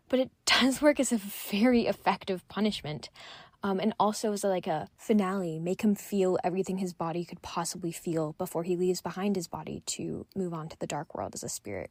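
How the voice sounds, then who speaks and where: A woman's voice: on the low side, a little mellow, dry recording. Woman's dry, close voice message. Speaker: listener sending a voice message. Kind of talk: personal fan commentary.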